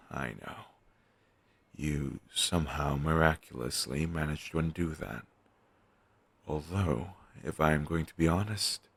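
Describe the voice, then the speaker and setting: A man playing a morose, self-deprecating zombie best friend in an audio roleplay, speaking haltingly and deadpan in a gravelly, husky voice. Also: rumbling low, dry recording, full character voice. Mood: glum, resigned. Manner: deadpan, halting, listless delivery with long pauses. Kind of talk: audio roleplay, intimate scripted monologue addressed to listener.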